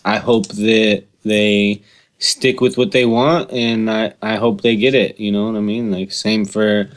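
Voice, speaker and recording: A man's voice: lowish voice, little pitch movement, unhurried. A man co-hosting a podcast, chatting casually and earnestly, repeating himself and swearing. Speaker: podcast co-host. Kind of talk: casual chat.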